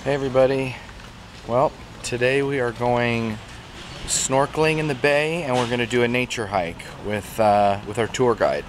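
A man's voice: mid-range voice, moderate pace. A man in his thirties talking casually to a handheld camera outdoors as a travel vlog host. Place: outdoors at beach, street and trail. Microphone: handheld camera at arm's length. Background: music.

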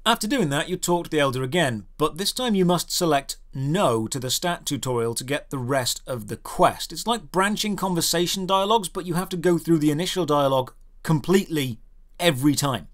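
A man's voice: fairly high pitch, lively intonation, fairly quick, a bit bright. A man doing scripted comedic game commentary, sarcastic and theatrical in his emphasis. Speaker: game reviewer and video host. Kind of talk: scripted comedic commentary over gameplay footage. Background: game background music.

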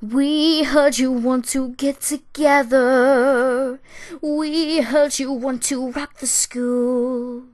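Woman as a slow, scheming teen siren villainess. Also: sits high, no echo, studio quality. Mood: menacing. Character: scheming, haughty villainous teenage girl siren, silky and mocking. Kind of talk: cartoon character voice reel.

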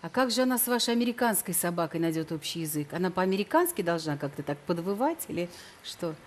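A middle-aged woman hosting a television dating show, questioning a guest with quick, ironic teasing. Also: fairly low pitch, big pitch swings, a little hiss or hum. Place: large television studio.

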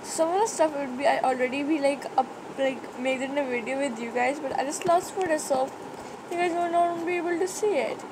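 A woman's voice: high voice, a little fast. A young woman with a sore throat, rambling through casual gameplay commentary with many fillers and restarts. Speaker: gaming channel host. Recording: noisy screen-recorded mic audio.

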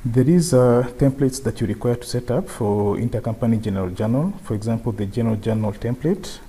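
A man presenting an unscripted software tutorial, explaining step by step and often repeating his phrases. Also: medium pitch, a little fast, husky. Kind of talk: unscripted screen-share tutorial.

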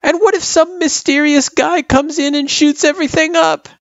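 A man's voice: very high-pitched, big pitch swings, slow, vocal fry, no echo, dull audio, voice pushed up, heavily acted. A man voicing an anxious, pouty young girl with a hesitant, plaintive delivery in a story reading. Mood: anxious, grumbling. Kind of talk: character voice in story narration.

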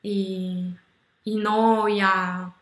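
A young woman with a French accent, speaking slowly and haltingly on a video call, searching for words with many fillers. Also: mid-range voice, flat delivery, echoey room. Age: young adult. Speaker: language-learning video host. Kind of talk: halting conversation in a learned language. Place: small room indoors. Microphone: red headphones, webcam video call.

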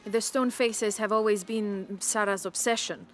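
A woman's voice: medium pitch, steady tone, a little slow, faint music behind, natural voice. A woman playing a friendly, easygoing young local in a video game, chatting casually. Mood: fond, casual. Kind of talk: conversational video game dialogue.

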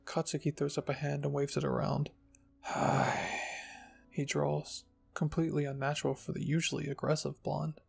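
A man with a slightly high, creaky voice reads a story in a soft ASMR style. His delivery is even and husky, with light music behind him. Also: fairly quick, hardly any pauses. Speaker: narrator and channel host. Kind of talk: ASMR fan fiction reading.

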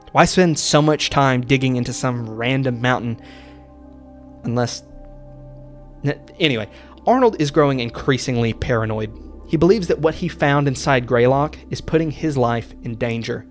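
Male video essayist, scripted narration, dramatic and deliberate delivery, average-pitched voice, background music. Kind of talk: scripted narration of horror series recap.

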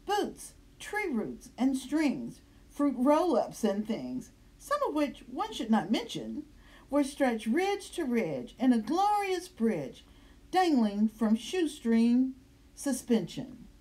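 A woman reads a children's story in rhyme. Her voice is high and animated, with an unhurried sing-song delivery that brings out each verse. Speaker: storybook reader. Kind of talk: expressive children's read-aloud of rhyming story. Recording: roomy, echoing indoor sound.

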